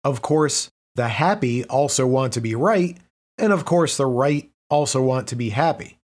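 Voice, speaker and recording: A man reading a prepared text aloud as narration, pausing between the items of each list. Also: mid-range voice. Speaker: reader. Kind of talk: scripted narration.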